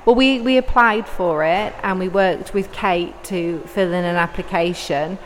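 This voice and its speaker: A woman speaks in a slightly low voice, earnest and a little rambling as she answers an interviewer. Her voice rings with long reverb in a large hall. A faint hum of noise sits behind her. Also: measured pace. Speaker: deputy head of adult and community at a college.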